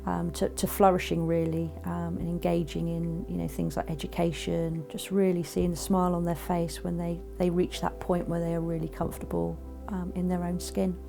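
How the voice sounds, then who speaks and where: A woman reflecting on her work in an interview, with hesitant, halting phrasing. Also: fairly low pitch, flat delivery, creaky, over music.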